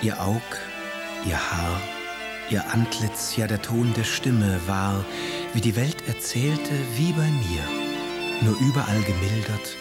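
A man's voice: lowish voice, takes it slowly, over loud music. An actor playing a passionate, melancholy aristocratic male poet, speaking expressively in a literary radio drama. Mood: mournful. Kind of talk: literary radio drama recitation of letters and verse.

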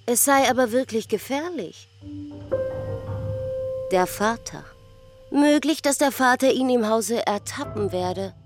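A woman's voice: on the high side, expressive, takes it slowly, pauses often, music in the background. A woman narrating part of a literary radio play.

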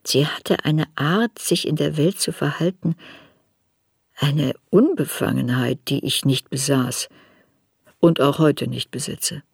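A woman reading scripted literary narration for a radio play in a measured, reflective way. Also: sits low, gravelly, a little mellow, husky, no echo, studio quality.

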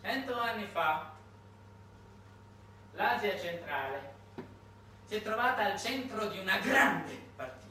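A man delivering a satirical theatrical monologue in an emphatic, ironic, halting manner. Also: high-pitched, lively intonation, pushed and bright. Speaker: stage monologuist. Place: roomy indoor performance space, far from mic. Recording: distant, echoey, noisy live theatre recording.

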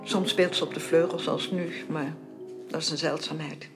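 A woman's voice: sits low, steady tone, normal speed, music in the background. A woman playing an anxious, caring upper-class married lady in a Dutch radio drama, formal and plaintive. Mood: worried.

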